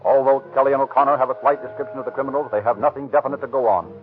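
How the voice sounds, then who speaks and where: A man's voice: mid-range voice, steady tone, very fast. A man giving dramatic scripted narration in the style of a 1930s radio crime show. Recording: tinny 1930s radio recording, faint music. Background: faint music.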